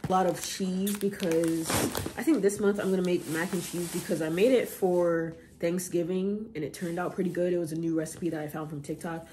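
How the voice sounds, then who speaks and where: A woman's voice: on the low side, noisy background. A young woman vlogging a grocery haul at home in her kitchen, talking casually with slang and rambling asides. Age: young adult.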